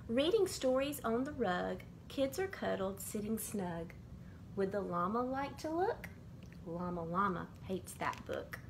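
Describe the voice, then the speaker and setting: A middle-aged woman reading a rhyming children's picture book aloud in a measured, sing-song way, as bedtime stories from a bedroom. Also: medium pitch, big pitch swings. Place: small indoor bedroom. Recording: clearly noisy amateur home recording.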